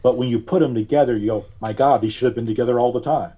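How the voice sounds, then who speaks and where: A man's voice: mid-range voice, fast talker, husky, lo-fi sound. A man chatting casually as a guest in a podcast interview.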